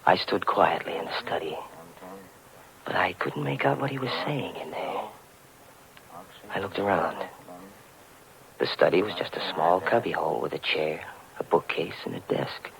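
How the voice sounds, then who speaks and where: A man's voice: deep voice, vocal fry. An American actor playing a curious young man who narrates his own mystery story in 1940s radio style. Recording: old radio recording, telephone-like, music behind.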